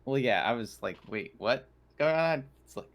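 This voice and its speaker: A man's voice: medium pitch, lively intonation, normal speed, fairly bright tone. A man chatting casually as a player in a tabletop roleplaying game, talking through his rolls.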